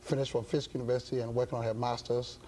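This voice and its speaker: Mellow-voiced pastor from Ghana, halting. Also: male voice, medium pitch, level tone, slight background noise, poor audio. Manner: halting, many uh fillers, measured. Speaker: pastor and guest. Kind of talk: interview talk show.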